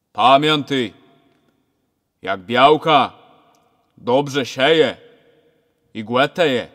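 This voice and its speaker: A man acts as an older Kashubian village priest in a radio play, jovial and preachy. He delivers folksy advice very slowly, in a sing-song sermon manner with a Kashubian accent. His words ring in a long churchlike reverb. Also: mid-range voice, fairly bright tone. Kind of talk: amateur radio-play acting. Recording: very reverberant hall-like sound.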